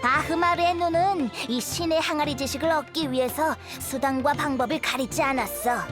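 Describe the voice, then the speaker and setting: Woman voicing a high-pitched, shrewd little girl merchant. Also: over music, lightly acted. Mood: sly, informative. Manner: sly, drawn-out, salesmanlike. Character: small, shrewd, greedy girl merchant, smugly wheedling. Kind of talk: anime game voice acting.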